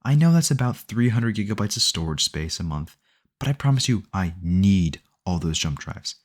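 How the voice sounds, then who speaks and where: A man's voice: low-pitched, sing-song, normal speed. A man playing a sweetly possessive, obsessive boyfriend in an ASMR audio roleplay, rambling earnestly and intimately to the listener. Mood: earnest, self-justifying. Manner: doting, rambling, earnest self-justifying, close and conversational.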